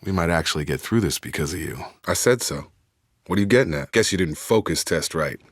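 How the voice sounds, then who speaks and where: A man playing a steadfast, guarded space soldier in a video game, speaking in a measured, plain-spoken, earnest way. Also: sits low, animated, no echo, studio quality. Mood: earnest, businesslike. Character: steadfast young male space soldier, guarded, duty-minded. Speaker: squadmate in a science fiction game. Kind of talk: video game voice acting, naturalistic dramatic dialogue.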